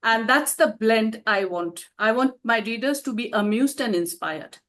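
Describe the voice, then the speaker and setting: Lady in her 50s chatting about her writing, bit high voice, thoughtful, laughs a lot. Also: expressive, measured pace, a bit bright. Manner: reflective, laughs often, restarts phrases. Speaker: author being interviewed. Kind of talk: conversational interview answers.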